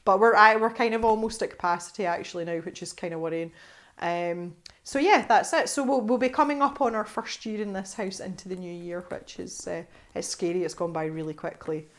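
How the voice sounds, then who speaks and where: A woman's voice: on the low side, brisk. A woman from the west coast of Scotland with a Scottish accent, chatting casually to camera as she answers viewer questions, laughing often and restarting her sentences. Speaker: art channel host.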